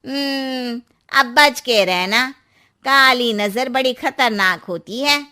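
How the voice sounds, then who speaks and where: A woman's voice: a little high, takes it slowly, bright, forceful tone, pitched above the performer's own voice. A woman voicing a superstitious neighbourhood auntie in a story, with an emphatic, cautioning manner. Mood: ominous warning. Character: nosy superstitious neighbourhood auntie, ominously warning.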